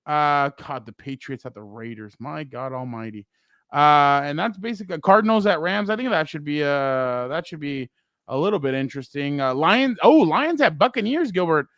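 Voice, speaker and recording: A man co-hosting a casual sports talk show, loud and rambling, often laughing and trailing off. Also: fairly high pitch, normal speed, no echo, studio quality. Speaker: co-host.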